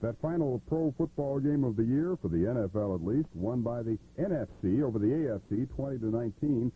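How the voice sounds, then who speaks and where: A man's voice: mid-range voice, lively intonation, fairly quick. A muffled 1980s radio aircheck of an American man giving a sports report from a script. The sound is narrow, with faint noise underneath.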